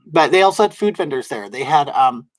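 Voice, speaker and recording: A man with a soft, feminine-sounding voice, rambling casually to his livestream viewers with lots of fillers and restarts. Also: on the high side, no echo. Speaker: livestream host. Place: at home in drag, wig on.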